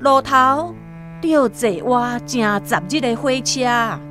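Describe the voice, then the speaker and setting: A woman with a Taiwanese accent narrating a literary radio drama as an expressive storyteller. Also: fairly high pitch, slow pace, over loud music.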